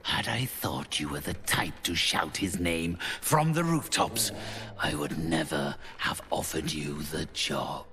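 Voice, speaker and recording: An actor playing a haughty, cold, mercenary necromancer in a fantasy game, speaking slowly with theatrical condescension. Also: male voice, low voice, big pitch swings, bright, forceful tone, a little hiss or hum, exaggerated voice. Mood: disdainful, menacing. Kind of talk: cinematic fantasy game dialogue.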